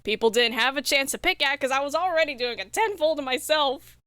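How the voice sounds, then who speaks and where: A woman's voice: high-pitched, a little fast, fairly bright tone, dry recording, studio quality. A woman guesting on a podcast as an indie game developer, chatting casually in a slangy, emphatic way. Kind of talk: casual interview chat.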